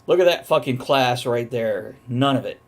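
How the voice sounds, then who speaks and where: A man's voice: mid-range voice, normal speed. A man hosting a casual chat show, talking bluntly and swearing freely as he banters. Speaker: host of a talk show.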